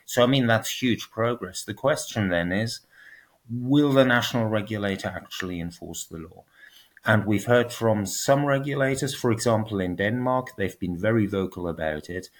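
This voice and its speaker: Man, lower voice, podcast guest; patient explainer with a dry, ironic edge. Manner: measured, explanatory, lightly ironic. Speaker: guest, transparency advocate. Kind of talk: podcast interview.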